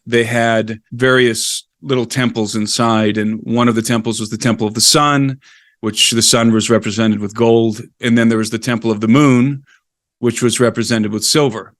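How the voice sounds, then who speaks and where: A man's voice: medium pitch, steady tone, moderate pace, no echo, studio quality. A middle-aged man talking as a podcast guest, telling history in a casual, digressive way. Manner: digressive, explains history at length. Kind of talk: casual storytelling conversation.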